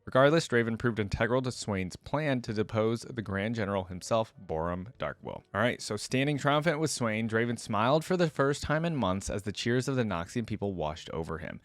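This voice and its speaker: A man's voice: lowish voice, animated, brisk, few pauses, no echo. A man doing casual reaction commentary, reading text aloud and adding his own remarks. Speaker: host of a gaming reaction channel.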